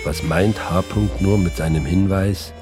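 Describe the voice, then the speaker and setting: Shady bitter informer, radio play, slightly low voice, clipped, faint music behind. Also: male voice, fairly even intonation, a little slow. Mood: furtive, clipped. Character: bitter, secretive male informer, jealous husband. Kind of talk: radio drama acting.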